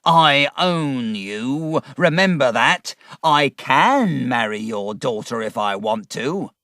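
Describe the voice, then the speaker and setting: A sly, fawning young man who drawls his words slowly and slides his pitch around as he flatters. The voice is put on by a man reading a story aloud. The sound is close and dry. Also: no room sound, heavily acted. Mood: angry.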